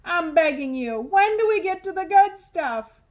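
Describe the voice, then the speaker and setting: A woman acts out a pushy storybook tooth with a very high voice, mad for sweets. It wheedles, pleads and complains with big melodrama, every line mouthy and overblown. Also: animated, unhurried. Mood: outraged, begging. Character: whiny, bossy sugar-craving talking tooth, wheedling and dramatic.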